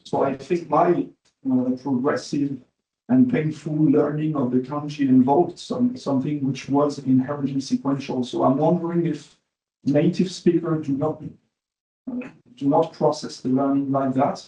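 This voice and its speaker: A man in the audience asking a question in English, which is not his first language. His mellow voice halts and restarts often. The echoey room gives it a roomy sound. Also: mid-range voice, fairly even intonation. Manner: halting, many fillers and restarts.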